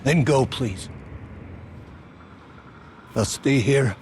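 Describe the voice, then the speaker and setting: An actor playing a broken, grieving father full of bitter regret, speaking in a halting, mournful way in a game cutscene. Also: mid-range voice, a little mellow, a little hiss or hum. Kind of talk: video game cutscene acting. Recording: faint music behind the voice.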